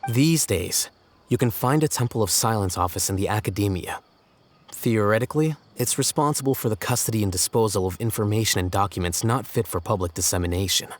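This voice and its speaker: An actor voicing a stern, deadpan young man with a measured, dry delivery in a video game. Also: lowish voice, natural voice.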